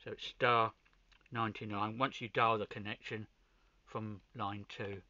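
A man giving an informal hands-on video demonstration, pausing between short phrases, with muffled sound. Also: on the low side, level tone, bright, forceful tone. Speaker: amateur radio hobbyist presenter.

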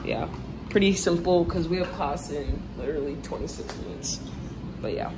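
A woman's voice: deep voice, moderate pace, very noisy. A young woman, a student athlete, chatting casually into a phone held at arm's length, laughing and teasing herself. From Canada. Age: young adult. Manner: chatty, laughing, self-mocking. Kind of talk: casual vlog chat.

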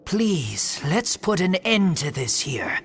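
An actor playing a pompous, theatrical old disciple in a video game, speaking with great formality. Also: male voice, sits high, very animated, takes it slowly, a bit bright, a little hiss or hum, exaggerated voice. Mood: grave, pleading. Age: older. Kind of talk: video game cutscene acting.

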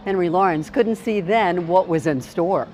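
A woman's voice: lowish voice, moderate pace, darkish tone, a little hiss or hum. A woman presenting a news programme, reading a scripted introduction to a feature.

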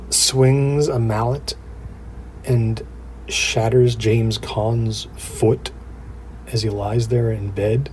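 A man performing a first-person horror story like a spoken diary, in a halting, uneasy, confiding way. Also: medium pitch, darkish tone, rough recording. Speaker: narrator of a horror audio drama. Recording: clear background noise.

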